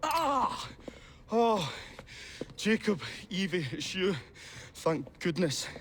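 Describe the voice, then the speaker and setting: A man's voice: sits high, big pitch swings, drawn-out words, a little hiss or hum, heavily acted. A man playing a nervous, polite, absent-minded inventor in a game cutscene, hesitant and formal in his phrasing. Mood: flustered relief. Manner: hesitant, bumbling, formal old-fashioned phrasing. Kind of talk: video game cutscene acting. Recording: loud music behind the voice.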